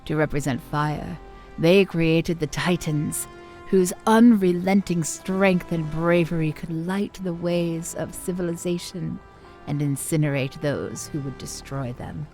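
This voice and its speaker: An actress plays a cryptic female lore-keeper in a fantasy audio drama. Her lowish voice moves very slowly and formally, as if each statement is weighed. Faint music runs underneath. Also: a little mellow, poor audio. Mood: solemn. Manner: deliberate, formal storytelling.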